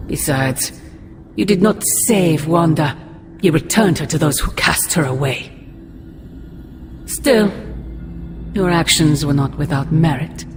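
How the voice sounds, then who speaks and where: A woman playing a menacing, imperious ancient sorceress mother in a video game, with a sardonic, theatrical delivery. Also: sits low. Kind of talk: dramatic video game acting.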